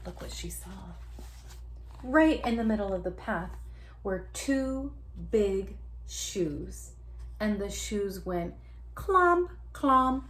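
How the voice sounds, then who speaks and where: Woman, children's read-aloud, very lively pitch, unhurried pace, inviting teacher manner, faint background noise. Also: poor audio. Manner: animated, drawn-out sound words, asks listeners to join in. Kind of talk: interactive children's picture-book read-aloud.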